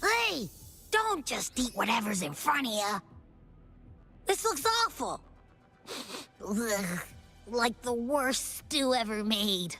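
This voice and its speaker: A woman's voice: a little high, big pitch swings, slow pace, pauses often, bright, forceful tone, soft background music, full character voice. A woman voicing a feisty, fussy little creature companion in a game, with a scolding, dramatic manner. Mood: disgusted, scolding. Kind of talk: animated game character acting.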